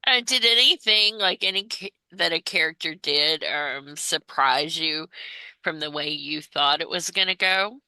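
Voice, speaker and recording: A woman hosts a conversational interview in a low but strikingly bright, forward voice. The sound is close and dry, and her questioning is informal and attentive. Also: measured pace, smooth, no room sound, poor audio. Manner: informal, attentive, acknowledges answers before asking.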